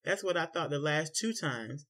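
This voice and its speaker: A man playing a frustrated husband in a spoken story, speaking low and tight. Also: lively intonation, normal speed, a bit bright. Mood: stern. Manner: low and tight, dropping to near whisper. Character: frustrated husband, stern and pleading.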